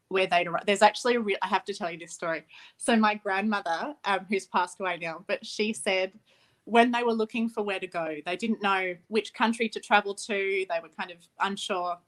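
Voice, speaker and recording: Reflective woman filmmaker. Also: mid-range voice. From Australia. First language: Ukrainian. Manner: warm, reflective, laughs easily, restarts phrases. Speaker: film director. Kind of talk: interview guest.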